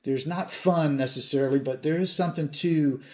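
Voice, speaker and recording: A man's voice: fairly high pitch, normal speed, darkish tone. A man in his fifties hosting a hobby channel, talking casually to viewers in an earnest, plain-spoken way with emphatic repetition. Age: 50s.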